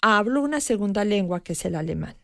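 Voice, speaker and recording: A woman in her fifties talking in a measured, reflective way as a radio guest. She pauses often and searches for the right word. The studio sound is dry and close. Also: mid-range voice, lively intonation, creaky, fairly bright tone, no echo. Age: 50s. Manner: thoughtful, measured, with frequent pauses and hesitations. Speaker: guest expert on language learning. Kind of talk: radio conversation.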